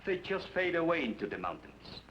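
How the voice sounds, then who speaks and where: An actor playing a grave, formal official in an old radio western. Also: male voice, a little high, wildly swooping pitch, a bit bright. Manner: formal, measured. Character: grave Mexican official, formal middle-aged man. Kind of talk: 1940s radio acting. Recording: dull old radio broadcast with faint hiss.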